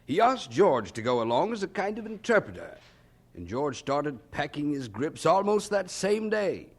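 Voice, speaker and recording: A man's voice: on the high side, big pitch swings, normal speed. A man narrating a scripted story for an audio drama in a storytelling style. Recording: music behind the voice. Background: music.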